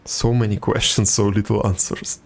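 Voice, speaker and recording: A man's voice: lowish voice, flat delivery, moderate pace, vocal fry, darkish tone. A man chatting casually as a game streamer, commenting to himself in a wry, self-deprecating way. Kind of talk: casual live gameplay chat. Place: indoors, streaming, game music behind.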